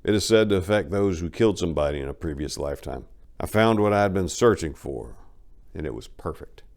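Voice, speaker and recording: A man's voice: low-pitched, lively intonation, fairly quick, creaky, darkish tone. A man reading a first-person story as dramatic audiobook narration, in a folksy, colloquial storytelling manner.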